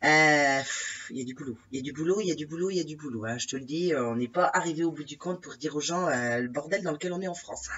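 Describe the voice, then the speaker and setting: Husky woman with a cold, ranting. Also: low-pitched, quick pace, fairly bright tone. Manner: indignant, exasperated, repeats herself, many fillers and tag questions. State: has a head cold. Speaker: vlogger addressing her followers. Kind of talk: casual ranting monologue to camera. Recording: distant, dull lo-fi recording.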